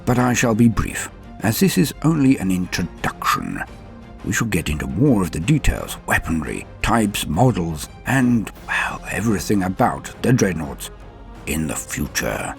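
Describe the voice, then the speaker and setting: A man's voice: sits low, huge pitch swings, unhurried, vocal fry, faint music behind. A man hosting a lore show, delivering scripted narration in a theatrical, formal and florid manner. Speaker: host of a Warhammer lore show.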